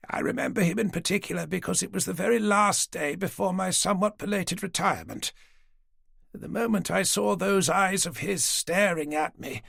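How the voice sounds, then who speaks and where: A woman's voice: medium pitch, vocal fry, a bit bright, slightly rough, no echo, studio quality, voice pushed up, exaggerated voice. A man reading an audiobook, voicing a frail, forgetful elderly woman who was once a nurse, in a genteel, rambling manner. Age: older. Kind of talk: audiobook character voice.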